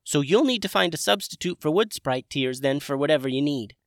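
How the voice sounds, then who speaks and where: A man voicing a tiny cheerful female wood sprite with a squeaky, chirpy voice. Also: a little fast, no room sound, clean studio sound. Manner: chirpy, lively, high-pitched.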